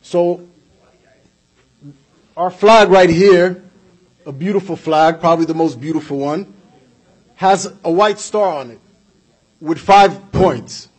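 A man's voice: a little high, slow, pauses often, breathy edge. A young American man giving an emphatic political speech at a podium microphone in an indoor hall, hammering his points home through repetition. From United States. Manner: emphatic, repeats points for effect, gestures while speaking. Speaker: speaker at a community event. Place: indoor hall with flags behind. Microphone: podium microphone on a stand.

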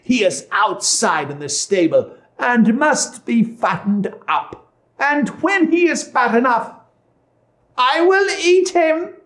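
A man voicing a wicked old witch in a storybook tale, shrill and exaggerated, cruel and scheming. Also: expressive, slow pace, a bit bright, exaggerated voice. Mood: bossy, menacing. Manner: exaggerated, spiteful, scolding. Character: wicked old witch woman, feigning sweetness, cruel and cackling.

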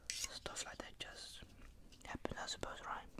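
A breathy, unvoiced whisper in an ASMR barbershop roleplay, with a person playing a barber making casual, rambling small talk with a customer. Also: a little slow.

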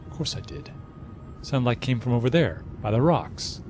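A man's voice: medium pitch, expressive, normal speed, music in the background, lightly acted. An actor playing a teasing, watchful armed spaceship crewman in an audio drama.

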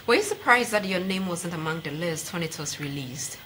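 A woman's voice: lowish voice, expressive, brisk, sharp tone, a little hiss or hum. A young woman with a Ghanaian accent hosting a TV sports interview, asking probing questions conversationally. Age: young adult. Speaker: sports show host, interviewer. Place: TV studio, seated at a desk.

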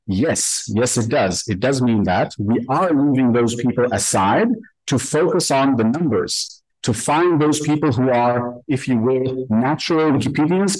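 A man giving an explanatory conference presentation, speaking in deliberate, emphatic phrases with rhetorical check-ins. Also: medium pitch, darkish tone, no echo, low-quality recording. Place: indoor conference room.

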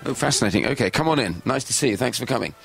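A man hosting a radio show, greeting a packed studio with brisk, repetitive questions and chuckling asides. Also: medium pitch, expressive, vocal fry, a bit bright, soft background music. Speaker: radio host. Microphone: studio microphone with headphones.

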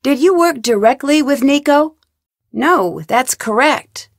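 A woman's voice: high-pitched, animated, slow pace, dry recording, clean studio sound, heavily acted. An actress playing a young female detective in a video game, asking polite, pointed, probing questions with composure. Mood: inquisitive.

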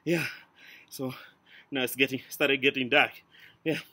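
A man's voice: medium pitch, fairly even intonation, a little slow, pushed and bright, slightly rough. A man in his thirties from Zanzibar with a Tanzanian accent, chatting to a phone camera in his backyard as a fitness vlogger. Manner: short counted cues between breaths during exercise. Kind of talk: workout coaching and chat to camera. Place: backyard outdoors. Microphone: camera mic, phone up close for the talk.

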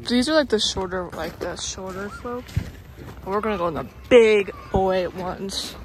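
A teenage girl filming a casual vlog on her phone, talking to viewers in a hushed morning voice and later out in the snow. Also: medium pitch, moderate pace, crowd noise, poor audio. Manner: whispery early-morning talk, bubbly and excited outdoors. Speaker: vlogger. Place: at home, then outdoors in snow. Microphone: handheld phone.

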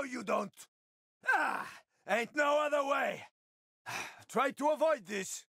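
An actor playing a wry, weary middle-aged male survivor in a video game, slangy and sardonic, with a gravelly, husky voice. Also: very high-pitched, fairly bright tone, no echo, studio quality, heavily acted. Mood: combative.

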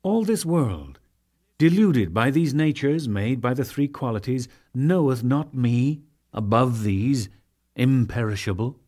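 A man narrating sacred scripture as a dramatic reading, solemn and declamatory, in measured phrases with deliberate pauses. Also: mid-range voice, animated, darkish tone. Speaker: audiobook narrator.

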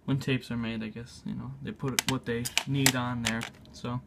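Gravelly-voiced man, halting tutorial. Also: mid-range voice, little pitch movement, heavy background noise. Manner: halting, unscripted, thinking aloud. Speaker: video maker demonstrating a repair. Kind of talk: casual hands-on tutorial narration. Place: indoors at a tabletop.

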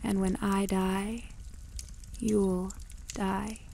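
Vengeful dying witch for radio drama, played by a woman: slow, breathy, coolly taunting, slightly noisy. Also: medium pitch, little pitch movement, mellow. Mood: mocking, dying. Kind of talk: retro radio drama acting.

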